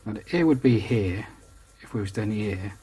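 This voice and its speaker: Husky chatty man talking through a drawing. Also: fairly low pitch, expressive, moderate pace, mellow, low-quality recording. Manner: chatty, self-deprecating, talks through each step. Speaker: drawing tutor. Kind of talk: casual real-time drawing tutorial. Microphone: voice over the drawing, not seen speaking.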